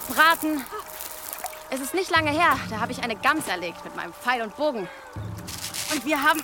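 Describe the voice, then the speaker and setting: An actress playing a cheeky, blunt village girl in casual, naturalistic audio drama dialogue. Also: high-pitched. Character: cheeky, blunt, teasing village girl who hunts and forages.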